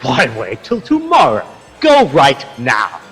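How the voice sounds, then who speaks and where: An actor playing a haughty, indignant Spanish friar in a radio drama, with a bombastic and scornful delivery. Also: male voice, mid-range voice, wildly swooping pitch, slow pace, fairly bright tone, breathy edge, full character voice. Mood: furious, scornful. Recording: long hall reverb, music underneath.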